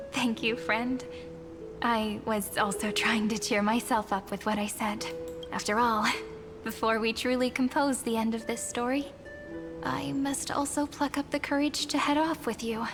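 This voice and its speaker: A gentle young heroine speaks in a high, tender voice with measured, poetic phrasing. It is fantasy game dialogue, wistful in temper, in long reverb over clear music. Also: female voice, fairly even intonation. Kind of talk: scripted fantasy game voice acting.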